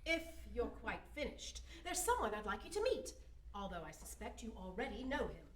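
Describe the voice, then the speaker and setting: Actress as theatrical older Santa's wife, motherly, high-pitched, radio play, distant roomy recording. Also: sing-song. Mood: dryly firm. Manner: storytelling, measured, fond of children. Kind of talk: amateur radio drama acting. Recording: roomy echo, off mic.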